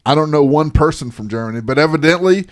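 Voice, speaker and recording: A man from the Southern United States co-hosts a podcast. His voice sits a little high for a man. He talks in a loose, rambling way, often restarting sentences and gently teasing his co-host. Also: expressive, fast. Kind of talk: casual chat.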